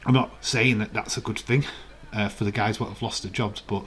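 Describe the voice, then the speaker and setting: Croaky chatty man streaming. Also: on the low side, fairly even intonation, brisk, a bit bright. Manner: chatty, rambling, reads out viewer comments. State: hoarse, croaky voice. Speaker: live stream host. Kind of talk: casual live-stream chat.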